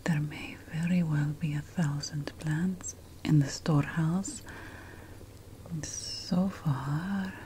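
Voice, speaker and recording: A woman playing an ancient, wry and fussy witch mentor in a slow, breathy whispered ASMR fantasy roleplay. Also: low voice, a little mellow, slight background noise. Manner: slow, deliberate, wry, theatrical. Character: ancient Mesopotamian witch mentor, teasing, fussy about plant remedies.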